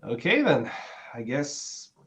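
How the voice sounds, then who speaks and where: A man's voice: mid-range voice, huge pitch swings, slow, a bit bright, exaggerated voice. A man playing an affable, self-satisfied businessman and boyfriend in a dramatic play reading. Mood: affectionate.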